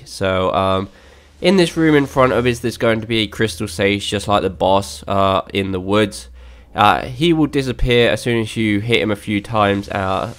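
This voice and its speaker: A man's voice: lowish voice, a bit bright, clear, resonant tone. A young man with a slightly worn voice, casually narrating a game walkthrough with many fillers and restarts. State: slightly lost voice.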